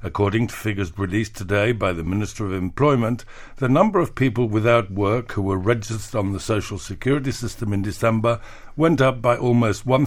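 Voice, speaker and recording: A man reading scripted news and press summaries as a radio newsreader. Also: lowish voice.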